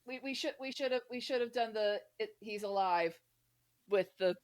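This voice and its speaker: A woman hosting a podcast in casual, humorous chat, animated and giggly, swearing freely. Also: on the high side, normal speed. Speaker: podcast host.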